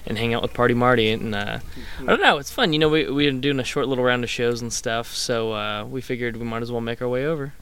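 A man's voice: medium pitch, fast, a bit bright, smooth. A man who drums in a punk band, answering interview questions in an easygoing, friendly way.

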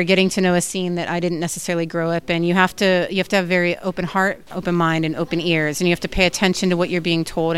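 A woman film director answering interview questions in an earnest, enthusiastic way, with frequent fillers. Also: fairly low pitch.

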